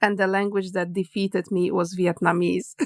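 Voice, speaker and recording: A woman's voice: lowish voice, level tone, moderate pace, no room sound. Lady hosting a podcast, dry sound, laughs along, lots of ums. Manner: warm, laughs along, many fillers. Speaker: podcast host. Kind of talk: conversational interview.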